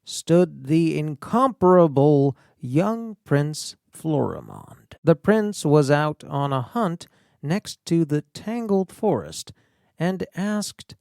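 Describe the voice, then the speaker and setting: A male storybook narrator with a fairly high voice that swings widely in pitch. He reads scripted fairy-tale prose slowly and theatrically, leaving dramatic pauses. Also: big pitch swings, vocal fry, a little mellow, clean tone, dry recording, studio quality. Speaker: audiobook narrator.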